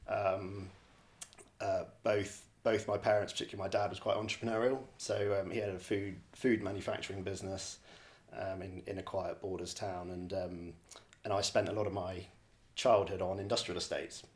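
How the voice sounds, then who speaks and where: Low, even-toned man reflecting. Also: normal speed. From borders of Scotland. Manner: hesitant, repeats and rephrases phrases. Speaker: podcast guest, real estate director. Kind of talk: reflective interview answer, casual.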